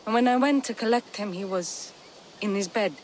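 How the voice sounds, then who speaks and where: An actress playing a gentle, doubtful young Viking apprentice in a video game cutscene, with a soft, halting, earnest delivery. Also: female voice, medium pitch, a little hiss or hum, natural voice, rough recording. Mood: grieving, unsure.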